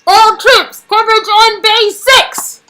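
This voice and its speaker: A bright, high-voiced guard commander, acted by a woman, barking urgent orders. The game-drama recording has some background noise and ambience. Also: fairly even intonation, takes it slowly, heavily acted. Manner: shouted, commanding. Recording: noisy, ambience behind.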